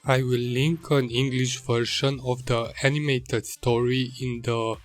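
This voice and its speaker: A man's voice: medium pitch, fairly even intonation, unhurried, soft background music. A man with a Hungarian accent, whose first language is Hungarian, narrating an art video in a careful, slightly formal way. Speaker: illustrator and channel host. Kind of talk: calm narrated art voice-over.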